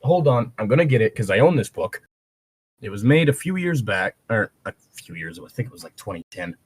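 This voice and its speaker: A man's voice: fairly low pitch, big pitch swings, fairly quick, slightly rough, no echo, rough recording. A man reading fan fiction aloud with casual, joking banter and digressive commentary. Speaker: co-reader and commentator.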